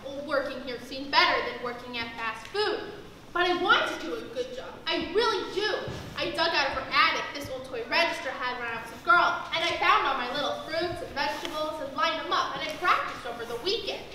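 An eager, polite teenage girl working a register acted by a young woman in a stage comedy. Her voice is very high and bright, ringing in an echoey hall with faint noise. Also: lively intonation, fairly quick, recorded from a distance. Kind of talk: amateur stage comedy acting. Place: theatre stage, distant camera.

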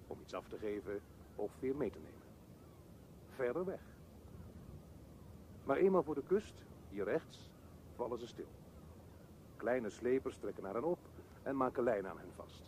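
A man's voice: medium pitch, a little mellow, a little hiss or hum, natural voice. An actor playing a brooding, restless man thinking aloud in a radio drama, with theatrical, broken phrasing.

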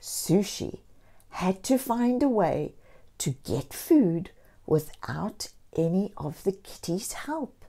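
A woman storyteller reading a picture book aloud to young children, expressively and deliberately, with encouraging asides. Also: medium pitch, very animated, mellow. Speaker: children's storyteller and channel host.

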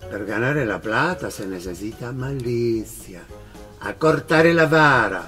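A jolly, worldly-wise Italian grocer in a radio drama. His Italian-accented speech is unhurried and swings widely in pitch as he scolds slyly and good-naturedly. Faint music plays behind him. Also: animated, a bit bright. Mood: teasing, advising.

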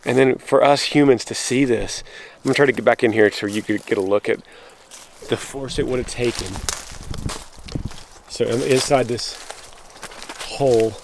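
A man filming a walking vlog outdoors in rural woods on a handheld camera, talking excitedly to viewers and breaking off mid-sentence. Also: medium pitch, normal speed, gravelly, pauses often, a little hiss or hum. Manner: excitable, breaks off and restarts, addresses viewers as guys. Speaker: vlogger showing storm damage. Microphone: handheld camera while walking.

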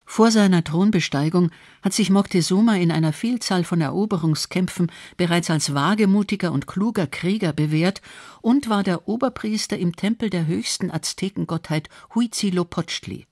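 A man's voice: sits high, normal speed, a little mellow, dry recording, clean studio sound. A man reading scripted documentary narration in the role of narrator.

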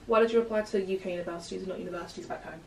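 Young woman, quick encouraging host, echoey small room, faint hiss. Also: lowish voice, fairly even intonation, a little mellow. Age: young adult. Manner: quick, encouraging, gives advice to viewers. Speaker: host interviewing students. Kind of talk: casual interview. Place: small room with bookshelves, indoors. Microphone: far camera. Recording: roomy sound from a distant camera, faint noise.

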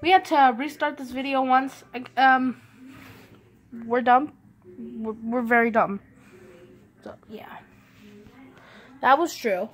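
A woman's voice: high-pitched, takes it slowly, pauses often. A teenage girl hosting a casual vlog, telling car-trip stories over her drawings in a rambling, self-mocking way, recorded on a handheld phone. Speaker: vlog host.

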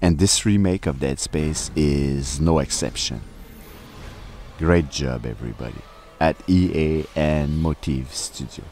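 Deep-voiced, slow-talking man. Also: a little hiss or hum. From Canada. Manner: playful, self-mocking, with sudden exaggerated shouted bursts. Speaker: host of game walkthrough channel. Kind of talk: casual spoken game review.

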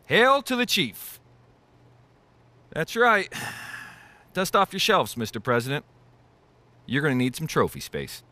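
An actor playing a showy, emphatic TV sports commentator in a video game, with theatrical delivery. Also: male voice, on the high side, big pitch swings, slow, long pauses, pushed and bright, heavily acted. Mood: hyped, theatrical.

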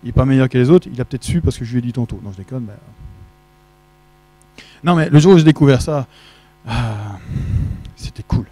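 A man's voice: medium pitch, lively intonation, moderate pace, pauses often, warm tone, dry recording. A middle-aged man speaking French at a tech conference, chatty and joking, quizzing the audience, on a handheld mic in a large auditorium. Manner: chatty, jokey, asks the audience questions. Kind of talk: casual tech conference talk. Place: large dark auditorium with projection screen. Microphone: handheld microphone.

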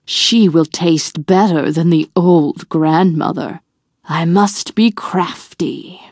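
A woman voicing a sly, wicked, hungry male wolf in a children's storybook, with a drawn-out, scheming delivery. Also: on the low side, darkish tone, dry recording, clean studio sound, pitched below the performer's own voice.

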